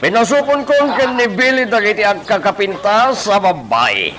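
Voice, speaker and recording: A man's voice: high voice, expressive, normal speed, background noise. An actor playing a boastful crime boss in a radio drama, drawing out his gloating words.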